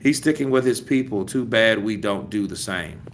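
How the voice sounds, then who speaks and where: A man's voice: fairly low pitch, expressive, normal speed, a little hiss or hum. An American man hosting a casual live-stream boxing chat, talking loosely and repeating himself to make his point. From United States. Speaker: boxing commentary host reading live chat. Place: after leaving the gym.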